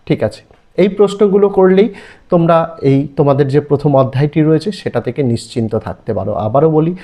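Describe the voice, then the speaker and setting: A man's voice: on the high side, mellow. A man with an Indian Bengali accent teaching an exam-prep lesson, reading out listed questions in an instructional, emphatic way. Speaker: online history teacher.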